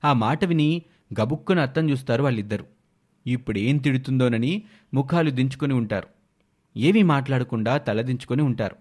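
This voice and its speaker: A man's voice: mid-range voice, fairly quick, darkish tone. A male narrator with a mid-range voice reads a scripted moral story for animation. He describes the scenes as a storyteller.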